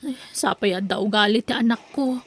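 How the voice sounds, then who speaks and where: A woman's voice: medium pitch, brisk, lightly acted. A woman playing an apologetic mother who defends a lazy child, in an Ilocano comedy radio drama. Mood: apologetic, pleading.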